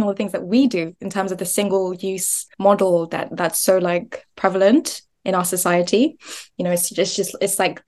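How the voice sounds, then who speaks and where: A woman's voice: mid-range voice, a little mellow. A young woman from Nigeria talking chattily as a podcast guest, often laughing and restarting words. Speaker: guest, business founder. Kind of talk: podcast interview.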